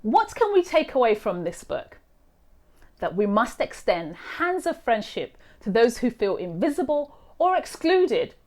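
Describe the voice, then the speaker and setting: A woman reading a children's picture book aloud as a host, with expressive, deliberate storytelling. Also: a little high. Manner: animated, deliberate delivery for children.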